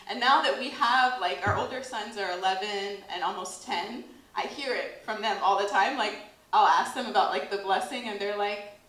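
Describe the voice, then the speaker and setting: A woman's voice: medium pitch, normal speed, pushed and bright. Chatty American woman in an echoey hall. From United States. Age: 30s. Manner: chatty, many "like" fillers, laughs often. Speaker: workshop speaker. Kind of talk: casual talk to an audience. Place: event hall with an audience.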